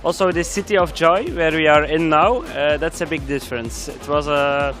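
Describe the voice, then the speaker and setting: A man from Belgium, answering an interview haltingly outdoors. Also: fairly high pitch, fairly even intonation, fairly bright tone, faint music behind. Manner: halting, with fillers.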